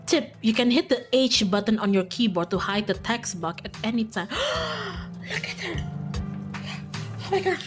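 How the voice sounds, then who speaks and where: A woman livestreaming a visual novel, reading it aloud and reacting casually and excitably. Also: on the high side, a little slow. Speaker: streamer playing and voicing a visual novel. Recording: music in the background. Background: game music.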